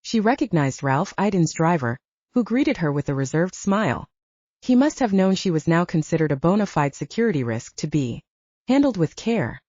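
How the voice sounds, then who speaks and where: A woman's voice: fairly low pitch, sing-song, moderate pace, a little mellow, no room sound. A woman narrating fiction from a script as an audiobook reader. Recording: dull, lo-fi, dry studio-like sound.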